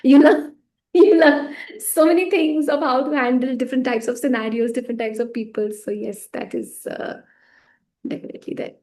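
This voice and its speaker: A woman who teaches pranic healing, answering interview questions in a casual, lively way, laughing often and letting her phrases fade softly at the end. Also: high-pitched, normal speed.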